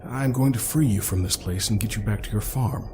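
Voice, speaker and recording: A man's voice: lowish voice, fairly quick, a little mellow, slight background noise, natural voice. A man playing a witty, fussy, eccentric scientist-adventurer in an audio drama, chatty and theatrical with mock-formal asides. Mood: soothing.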